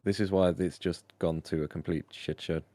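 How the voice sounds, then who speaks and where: A man's voice: low voice, steady tone, moderate pace, mellow, no room sound, clean studio sound. A British man bantering casually with friends during a game stream, teasing and argumentative. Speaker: gamer friend on a voice call.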